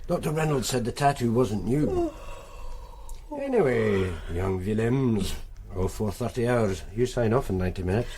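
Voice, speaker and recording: An actor playing a dry, weary, matter-of-fact police sergeant in a radio drama. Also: male voice, mid-range voice, sing-song, moderate pace, warm tone, a little hiss or hum, lightly acted. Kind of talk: naturalistic radio drama acting.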